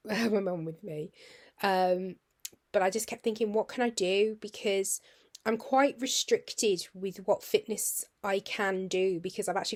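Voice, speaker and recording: Husky, hoarse British woman vlogging. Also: medium pitch, a little mellow. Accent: British English. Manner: rambling, friendly, drops to near-whisper at times. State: husky, hoarse after surgery tubes. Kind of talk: casual chatty vlog.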